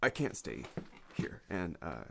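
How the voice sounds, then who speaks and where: A scared, apologetic man played by an actor in an audio drama. He stammers slowly and haltingly, his pitch lurching widely as he pleads. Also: very animated, creaky, slight background noise, full character voice. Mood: nervous, apologetic. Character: frightened, apologetic man begging for his life.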